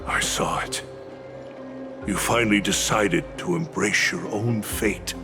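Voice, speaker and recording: A man playing a stern, devoted old warrior mentor in a video game, with a gravelly voice and formal, weighty speech. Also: lowish voice, slow. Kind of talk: scripted video game acting. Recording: clear music behind.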